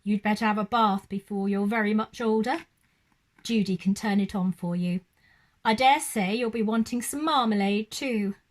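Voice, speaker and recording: Lady doing a bossy storybook housekeeper, stern and brisk, kind underneath. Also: mid-range voice, lightly acted. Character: fierce, bossy housekeeper woman with kind heart.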